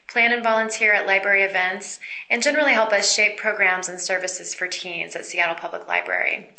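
A woman's voice: mid-range voice, sharp tone. A woman working as a librarian, reading a scripted podcast introduction. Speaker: teen services librarian, host. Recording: echoey room.

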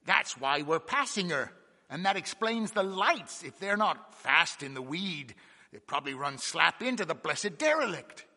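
Alarmed second mate, done by a man, pitched up high and bright, long reverb. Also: animated, measured pace, exaggerated voice. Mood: agreeing. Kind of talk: audiobook character reading.

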